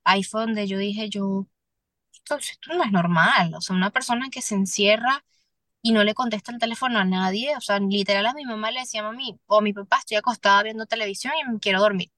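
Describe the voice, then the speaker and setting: A young Venezuelan woman, a singer-songwriter, speaking candidly as a podcast guest, earnest and halting with restarts. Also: mid-range voice, a bit bright, dry recording, clean studio sound. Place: Miami.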